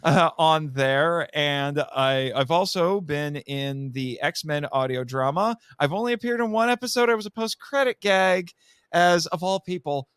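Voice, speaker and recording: A man's voice: fairly high pitch, measured pace, fairly bright tone, clean tone, dry recording. A man chatting casually as a podcast guest, telling things in a rambling, theatrical way.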